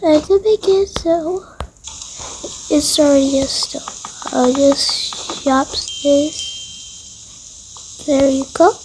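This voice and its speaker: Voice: high-pitched, steady tone, slow, pauses often, noisy background. A player improvising a roleplay story over a game, murmuring along as if talking to themself. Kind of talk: improvised roleplay narration over a toy-house game, voicing family members.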